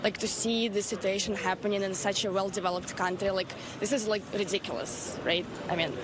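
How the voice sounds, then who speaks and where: A woman gives a casual, spontaneous interview answer as a traveler. Her mid-pitched voice barely varies, and clear urban vehicle noise sits behind her. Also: fairly even intonation, fairly quick.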